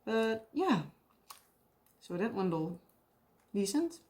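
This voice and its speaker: A woman's voice: mid-range voice, very animated, slow, a little mellow. A young woman with a Dutch accent chatting casually in a rambling way, often searching for English words and laughing. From Netherlands. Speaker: craft vlogger. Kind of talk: casual chat while crafting.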